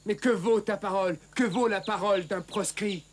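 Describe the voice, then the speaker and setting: An actor playing a brave, earnest young Saxon knight with a dramatic delivery in a French cartoon dub. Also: male voice, sits high, normal speed, a little hiss or hum, lightly acted. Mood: indignant.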